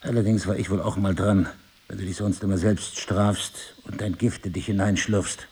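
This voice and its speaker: An actor playing a middle-aged husband, wounded yet devoted, who argues in articulate, deliberate phrases in a stage-play dialogue. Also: male voice, deep voice, fairly even intonation, darkish tone, slightly rough, heavily acted. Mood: bitter, reproachful. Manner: articulate, deliberate, lecturing, ironic. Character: reproachful yet devoted husband, wounded, philosophizing crime writer.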